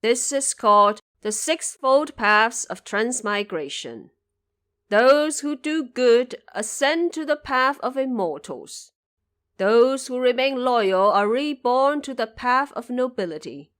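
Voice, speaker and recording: A woman's voice: a little high, fairly bright tone. A woman voicing a solemn, dignified male judge of the underworld in an audio drama, formal and instructive.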